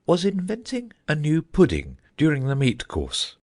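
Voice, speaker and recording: A man's voice: normal speed, heavily acted. Man doing a dreamy rambling old knight. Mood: musing. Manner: rambling, thoughtful, lively pitch swings.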